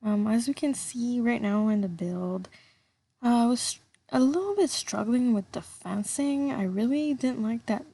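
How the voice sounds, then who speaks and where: A woman's voice: on the high side, expressive, measured pace, gravelly, mellow. Young French Canadian woman, chatty. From Montreal, Canada. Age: 20s. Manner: chatty, self-deprecating, hesitant fillers. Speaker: YouTuber. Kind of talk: casual game build voice-over. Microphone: laptop built-in mic.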